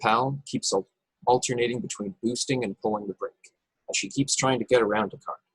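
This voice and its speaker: A man's voice: medium pitch, fairly quick, husky, no room sound, poor audio. A man narrating stage directions from a script at a table read over a video call. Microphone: video call, close and dry.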